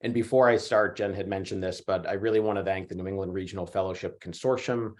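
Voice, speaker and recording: A hoarse man in his forties with a chest cold, a history professor giving a casual online lecture with many fillers and restarts. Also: deep voice, steady tone, fast talker, a little mellow. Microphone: computer mic on a video call.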